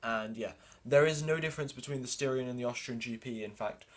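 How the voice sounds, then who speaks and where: A man's voice: mid-range voice, brisk. Man doing live race commentary. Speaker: livestream host and commentator.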